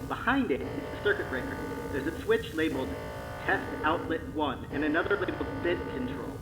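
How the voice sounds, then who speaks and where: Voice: mid-range voice, animated, a little fast, lightly acted. An actor playing an anxious, earnest scientist in a sci-fi audio drama, speaking with hesitation and care. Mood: careful instruction. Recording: echoing voice with music behind.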